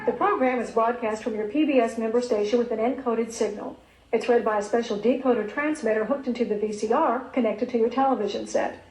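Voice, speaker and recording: A woman's voice: fairly high pitch, brisk, darkish tone. A woman narrating a scripted product explainer as an announcer. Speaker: promotional video announcer. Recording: roomy archive video, faint noise.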